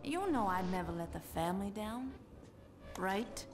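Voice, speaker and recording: A rebellious young woman with a medium-pitched voice. She is laid-back and sardonic, a game survivor speaking over music. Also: expressive, unhurried. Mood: defensive. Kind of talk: video game voice acting.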